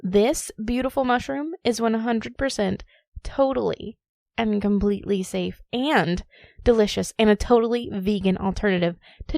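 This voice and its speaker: A woman's voice: medium pitch, creaky, a little mellow, no echo, studio quality, natural voice. A woman playing a dismissive, know-it-all vegan influencer, casual and emphatic with slang, in a parody monologue. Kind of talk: parody social video monologue.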